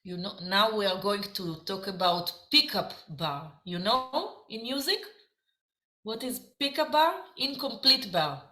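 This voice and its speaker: A woman with an Israeli accent teaching a dance class, explaining counts slowly and haltingly. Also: mid-range voice, expressive, bright, forceful tone. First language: Hebrew. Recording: echoey room.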